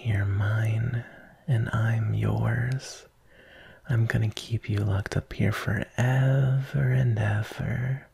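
A man playing an obsessive, possessive, lovesick kidnapper in an ASMR roleplay, breathy and often near a whisper, speaking intensely and intimately. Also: fairly low pitch, little pitch movement, a little mellow, heavily acted. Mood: tender, obsessive. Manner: slow, intense, intimate, addressing a captive darling.

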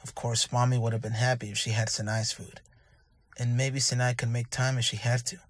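Husky, grieving young father, acted by a man. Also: mid-range voice, flat delivery, lightly acted. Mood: gentle, reminiscing. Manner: soft, halting, emotional delivery with sighs. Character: gentle, grieving young father, remorseful and tender. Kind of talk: anime fan dub acting.

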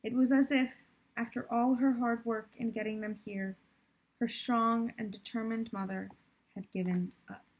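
A young adult woman reads a children's story aloud. Her voice sits a little high and has a husky edge. She delivers it as narration, like a teacher reading to a class. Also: fairly even intonation, normal speed, low-quality recording. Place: plant-filled room indoors by a window.

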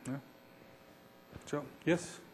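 A middle-aged man from Peru, an engineer answering audience questions after a lecture, talking in a halting way with many fillers and restarts, recorded on a lapel mic in a lecture hall. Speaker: structural engineer presenting. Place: lecture hall with projection screen.

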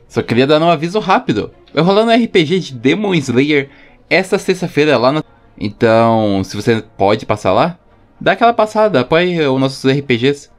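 Male YouTube host, Brazilian accent, medium pitch. Informal, keen fan commentary with slang, light music underneath. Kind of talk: casual fan commentary voice-over.

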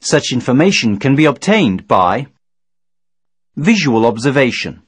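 Husky male training narrator, lively intonation. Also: mid-range voice, no room sound. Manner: measured, formal, didactic. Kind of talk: scripted instructional narration.

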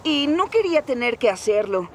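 A woman's voice: fairly high pitch, sing-song, normal speed, a little hiss or hum. A woman playing an eager, anxious young male steam engine in a Latin American Spanish cartoon dub, dramatic and exclamatory. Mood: worried, regretful. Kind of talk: dubbed children's cartoon acting. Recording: loud music behind the voice. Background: loud cartoon music.

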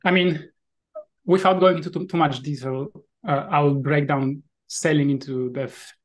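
A man's voice: a little high, fairly even intonation, normal speed. A man in his thirties from Poland with a Polish accent, a guest on a video call, explaining things thoughtfully with many fillers and restarts. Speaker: guest, VR sailing app developer. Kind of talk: casual interview answers.

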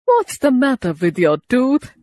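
A woman voicing an elderly, excitable, loving aunt who scolds dramatically in a children's storybook. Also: high-pitched, measured pace, no echo, clean studio sound, voice pushed up, heavily acted. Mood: alarmed.

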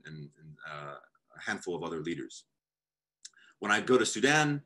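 Low-voiced man on a video call. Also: sharp tone. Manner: thoughtful, reads from his draft with gestures. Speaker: panelist. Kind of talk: academic panel talk. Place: home room, indoors.

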